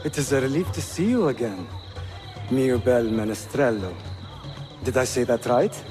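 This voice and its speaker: A man's voice: mid-range voice, animated, moderate pace, over music, close to an everyday voice. A man, playing a courteous, shrewd seventeen-year-old Ottoman prince in a video game, composed and assured. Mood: courteous, amused. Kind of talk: cinematic game acting.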